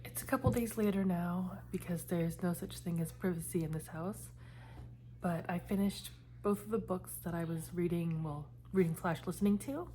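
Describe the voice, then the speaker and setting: A woman's voice: on the low side, moderate pace, warm tone, a little hiss or hum, rough recording. A woman in her thirties chatting casually in a book vlog, meandering and trailing off as she talks. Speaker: book vlogger. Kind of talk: casual chat.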